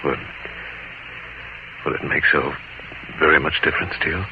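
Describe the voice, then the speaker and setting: An actor playing a weathered seafaring adventurer telling his tale gravely and deliberately, in old-time radio drama style. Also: a bass voice, fairly even intonation, noisy background. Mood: torn, restrained. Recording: muffled vintage radio broadcast, music behind.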